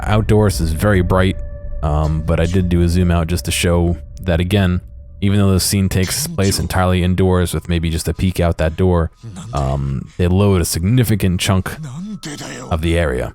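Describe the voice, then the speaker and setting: Deep-voiced man giving rambling game commentary. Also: moderate pace, a little mellow. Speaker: video creator commentating on game footage. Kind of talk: unscripted gameplay commentary.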